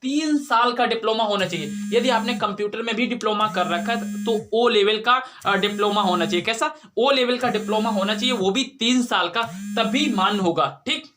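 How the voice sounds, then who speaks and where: A man's voice: high-pitched, normal speed, hardly any pauses, pushed and bright, a little hiss or hum. A man teaching in an informal explanatory way, repeating key points and answering his own questions. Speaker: exam coaching teacher.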